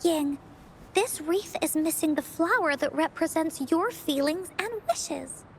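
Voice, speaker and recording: Very high, spirited little storyteller girl. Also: a little hiss or hum. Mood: gentle, solemn. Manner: theatrical, deliberate storytelling with dramatic pauses. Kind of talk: video game voice acting, dramatic storytelling.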